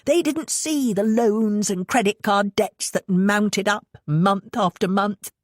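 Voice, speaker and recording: A woman's voice: mid-range voice, takes it slowly, no echo, clean studio sound. A woman with a British accent reading scripted fiction as an audiobook narrator.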